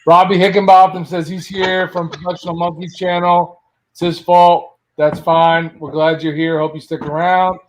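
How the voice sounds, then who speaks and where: A man hosts a relaxed, informal live stream. His voice is a little higher than most men's. He reads comments aloud and thanks viewers in a chatty way. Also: normal speed, a little hiss or hum, rough recording. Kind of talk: casual live chat, reading viewer comments.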